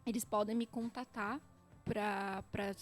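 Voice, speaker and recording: A young Brazilian woman with a Brazilian Portuguese accent, a podcast guest telling lively stories and speaking straight to listeners. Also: medium pitch, slow, faint music behind. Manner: lively storyteller, often addresses listeners directly. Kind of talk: casual chat.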